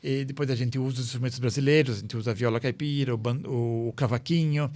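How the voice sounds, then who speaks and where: A man's voice: mid-range voice, fairly even intonation, quick pace, a little mellow. A Brazilian-born man, a conductor guest on a podcast, explaining in a plain, listing way with frequent restarts. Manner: explanatory, restarts and lists things. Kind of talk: casual podcast interview.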